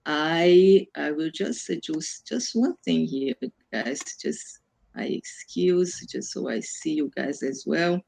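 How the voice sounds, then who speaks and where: A woman's voice: deep voice, slow, gravelly, a little mellow. A woman from Brazil with a Brazilian accent, a native Portuguese speaker, presenting an online workshop in a conversational way and rephrasing as she explains. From Brazil, Amazon region. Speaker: workshop presenter, self-development coach.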